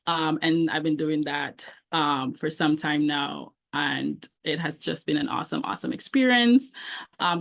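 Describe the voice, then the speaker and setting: A middle-aged woman with a Jamaican accent, a book coach giving a conversational presentation with frequent ums. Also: low-pitched. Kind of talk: online presentation.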